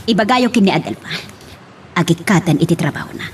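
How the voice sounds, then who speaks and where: Woman acting an animated, insistent Ilocano daughter. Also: lowish voice, quick pace, a little hiss or hum, lightly acted. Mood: upset, firm. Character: headstrong grown daughter in a family love drama. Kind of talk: amateur Ilocano radio-style drama acting.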